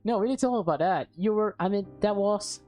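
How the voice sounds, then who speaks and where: A woman's voice: mid-range voice, brisk, a little mellow, natural voice. Woman voicing anxious, insistent body-swapped teenager. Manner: insistent, uneasy. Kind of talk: visual novel character read-aloud. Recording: background music under the voice.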